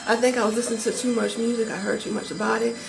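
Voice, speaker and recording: Fast-talking Southern woman with a gravelly edge. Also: on the high side, little pitch movement, background noise. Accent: Southern US, Georgia. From born in Maryland, raised in Georgia. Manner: rambling, restarts often, chatty and frank. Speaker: vlogger. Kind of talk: casual chat to camera. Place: visiting a home in California. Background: music playing in the background.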